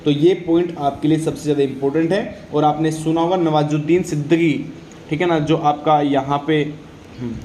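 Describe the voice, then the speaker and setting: A man's voice: fairly high pitch, mellow. A man teaching exam material informally, often repeating questions and answers and checking that students follow. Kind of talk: informal lecture on exam general knowledge. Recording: echoey room with faint background noise.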